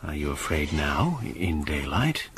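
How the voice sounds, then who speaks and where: An actor playing a kindly middle-aged apothecary who questions a sick child gently in a radio drama. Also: male voice, extremely low pitch, sing-song, measured pace, slightly rough, heavily acted. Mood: gentle.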